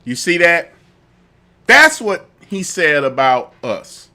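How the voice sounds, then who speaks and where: A man's voice: fairly high pitch, very animated, slow pace, sharp tone. A man hosting a political talk show with a lingering cough, repeating himself as his commentary builds into heated indignation. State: fighting a cough.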